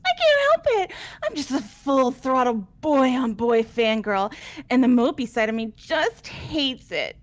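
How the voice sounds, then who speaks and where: Crazy gleeful serial killer girl for a game, high voice, super animated, taunting and theatrical. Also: unhurried. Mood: giddy, excited. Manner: theatrical, taunting, swooping delivery. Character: manic flamboyant young female serial killer, gleefully boastful. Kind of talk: amateur game voice acting.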